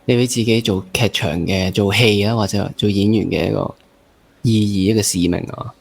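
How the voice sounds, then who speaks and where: A man's voice: lowish voice, steady tone, normal speed, creaky. A man with a Hong Kong accent hosts a casual interview, asking informal, playful questions. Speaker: interview host.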